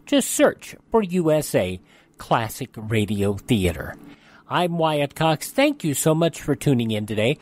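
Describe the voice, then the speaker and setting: A man's voice: mid-range voice, big pitch swings, a little slow. An American man presenting a radio show from a script as its host.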